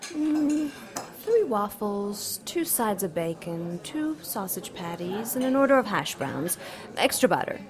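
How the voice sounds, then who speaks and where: A woman's voice: mid-range voice, sing-song, unhurried. An actress playing a seductive, haughty female demon with a sultry, coolly menacing manner in an audio drama. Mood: teasing, seductive. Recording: restaurant clatter and chatter behind.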